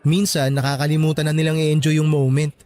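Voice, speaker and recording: A man acting an encouraging, teasing young college graduate with an earnest, animated delivery in a radio drama. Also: fairly high pitch, a little fast, soft background music, close to an everyday voice. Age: young adult.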